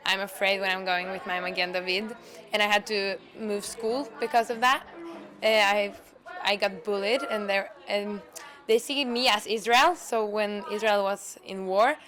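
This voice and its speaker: A woman's voice: medium pitch, fairly even intonation, normal speed, fairly bright tone. A teenage girl in an interview, speaking hesitantly with many fillers. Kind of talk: personal interview account.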